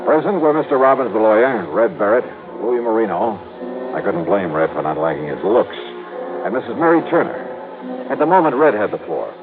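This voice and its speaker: A man's voice: lowish voice, big pitch swings, brisk. An actor playing a wry, wisecracking male insurance investigator who narrates his own case in a radio drama. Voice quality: dry, wisecracking. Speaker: lead and narrator. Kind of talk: 1950s radio drama acting. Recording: muffled old radio broadcast with music.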